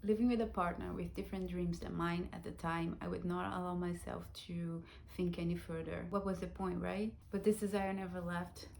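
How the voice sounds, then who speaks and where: Soft-spoken Brazilian woman telling her story. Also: lowish voice, little pitch movement, moderate pace, creaky, hardly any pauses, slight background noise. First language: Portuguese. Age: 30s. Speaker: vlogger. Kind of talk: personal storytelling vlog.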